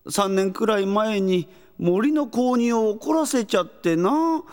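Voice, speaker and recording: Elderly castle ruler, slow and drawn-out, animated pitch, comically dignified, weary, audio drama. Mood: resigned, explaining. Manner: old-fashioned elderly speech, drawn-out and hesitant. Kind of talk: Japanese comedic audio drama acting. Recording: long reverberant castle-hall effect.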